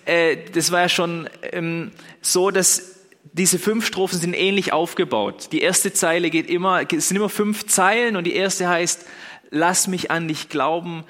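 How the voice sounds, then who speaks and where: Bright, forceful young preacher, man. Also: on the high side. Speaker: pastor. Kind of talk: sermon. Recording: long hall reverb.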